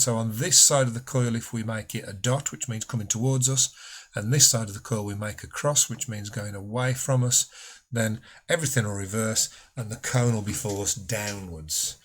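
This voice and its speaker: A man's voice: mid-range voice, fairly even intonation, normal speed. A man speaking as a physics teacher, explaining a school lesson patiently and informally, step by step.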